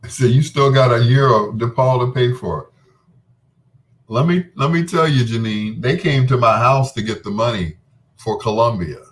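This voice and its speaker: A man's voice: mid-range voice, normal speed. A middle-aged man hosting a radio show in a casual late-night chat, laid-back, folksy and teasing with his listeners. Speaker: radio host.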